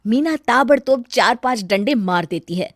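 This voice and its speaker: A woman narrating an animated family story in a lively, expressive way. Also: a little high, moderate pace, no room sound, studio quality.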